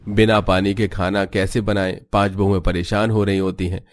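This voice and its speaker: A man's voice: low voice, little pitch movement, moderate pace. A man reading scripted narration for a cartoon family story.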